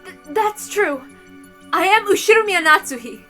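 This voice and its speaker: A proud lady of a noble house, acted by a woman, defending herself in a visual novel drama. Her voice is high and strained, formal yet indignant. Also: lively intonation, moderate pace, fairly bright tone, soft background music. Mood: strained, resolute. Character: proud, panicked noblewoman, head of a family, standing accused.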